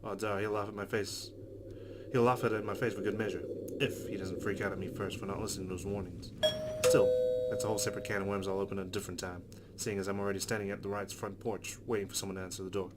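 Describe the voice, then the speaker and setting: A man's voice: sits low, quick pace. A man reading visual novel narration aloud and adding casual reactions of his own. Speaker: let's play host reading game text aloud. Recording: steady loud background noise.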